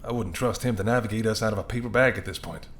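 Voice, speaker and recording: A man acts out a charming mafia boss in an intimate audio roleplay. His voice sits on the low side. He is smooth-talking and playful, teasing and flirting with the listener while staying politely apologetic. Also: fast talker, a little hiss or hum, lightly acted. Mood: apologetic, chatty. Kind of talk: intimate second-person roleplay audio acting.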